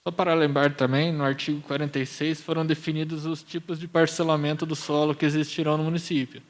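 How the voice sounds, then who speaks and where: A man with a Brazilian accent and a slightly high voice speaks as a municipal planning presenter. He explains things in a measured way, as at a formal public hearing. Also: flat delivery.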